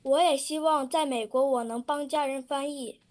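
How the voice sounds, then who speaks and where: High-voiced woman reading a statement. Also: normal speed. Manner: steady recited sentences. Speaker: student public speaker.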